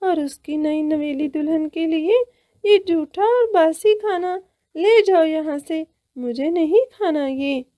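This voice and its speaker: A woman puts on a spoiled young cartoon bride bird. The voice is very high and shrill, disdainful and complaining, with close, dry sound. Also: slow, mellow, no echo. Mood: indignant.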